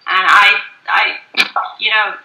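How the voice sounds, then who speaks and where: A woman from Turkey asking a question in an online debate, halting and often restarting her sentences over a video call. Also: medium pitch, steady tone, pushed and bright, echoey room, poor audio. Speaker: audience member asking a question.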